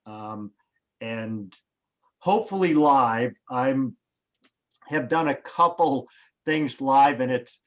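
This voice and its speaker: A man speaking over a video call as a host, guiding a guest through setup before a broadcast. His talk is halting, full of restarts and small asides. His pitch is mid-range for a man. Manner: hesitant, restarts, coaching tone. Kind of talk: casual pre-show video call chat.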